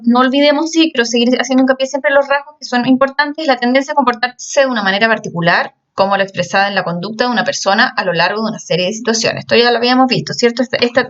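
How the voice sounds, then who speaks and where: A woman's voice: on the high side, fairly bright tone, far from the mic, dull audio. A woman teaching a class, explaining step by step and checking in often with her students. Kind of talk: recorded class lecture.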